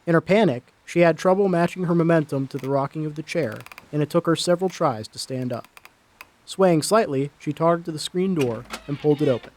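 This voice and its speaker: A man's voice: a little high, a little fast, a little mellow, slight background noise. A man narrating a dramatic short story from a script.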